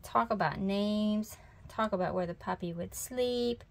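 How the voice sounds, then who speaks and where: Female storybook reader, mid-pitched, narrating aloud for children. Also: measured pace, creaky.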